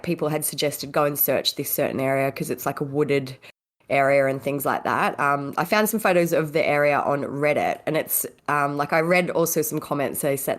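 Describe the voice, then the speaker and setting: A woman co-hosting a podcast, sounding congested from a cold, chatting casually with giggles and fillers. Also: deep voice. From Australia. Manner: giggly, rambling with many fillers. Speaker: podcast co-host.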